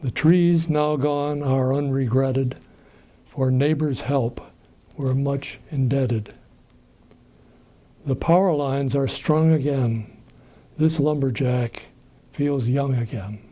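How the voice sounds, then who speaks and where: Slow, mellow man reading verse, slightly muffled. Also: medium pitch. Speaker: reader of poems. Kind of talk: reading rhyming verse aloud. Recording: slightly dull, muffled audio.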